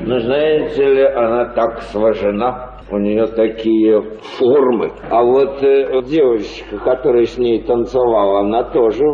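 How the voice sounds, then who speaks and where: An actor playing a vain, easily led old prince in a radio play, hesitant and repeating himself. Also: male voice, mid-range voice, fairly even intonation, a little slow, hardly any pauses, close to an everyday voice. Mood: dreamy, agreeable. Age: older. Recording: roomy, distant, muffled radio drama recording.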